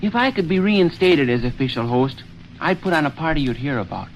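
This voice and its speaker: An actor playing an eager schoolboy son who argues in an earnest, folksy, persuasive way in a 1930s radio comedy. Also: male voice, a little high, sing-song, a little fast, muffled recording. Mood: eager, coaxing. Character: eager schoolboy son scheming to host a party. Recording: noisy 1930s radio recording.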